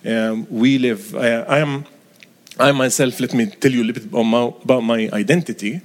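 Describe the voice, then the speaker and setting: A man's voice: medium pitch, steady tone. Middle-aged man with a Palestinian Arabic accent. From Galilee, Israel. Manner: halting, careful, earnest phrasing. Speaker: bishop, interview guest. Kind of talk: reflective interview answers.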